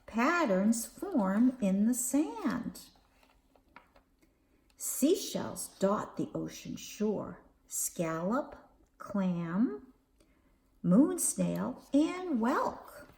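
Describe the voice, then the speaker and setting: Slow sing-song storytime woman. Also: creaky. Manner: slow, sing-song and expressive, with big pitch swings. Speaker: children's storytime host. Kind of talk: reading aloud and leading rhymes for young children. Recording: echoey room with faint background hiss.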